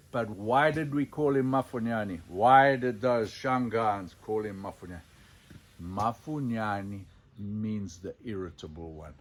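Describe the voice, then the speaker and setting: A man telling stories in an animated, unscripted way, theatrical and given to dramatic pauses. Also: mid-range voice. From Zimbabwe. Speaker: storyteller, sculptor presenting his own elephant figures.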